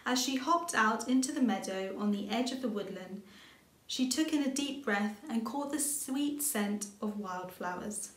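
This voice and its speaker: A woman's voice: on the high side, expressive, a little slow. A middle-aged woman, a children's author, reading a storybook aloud as scripted narration indoors. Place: indoors, small room with bookshelves. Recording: echoey room sound.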